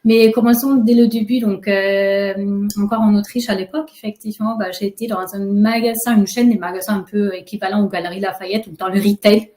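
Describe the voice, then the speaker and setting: A woman from Austria with an Austrian German accent, a webinar guest who tells her career story in a relaxed, chatty way full of fillers. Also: medium pitch, a little fast, hardly any pauses. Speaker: alumna guest. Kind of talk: webinar interview. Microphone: video call. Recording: echoey room on a video call.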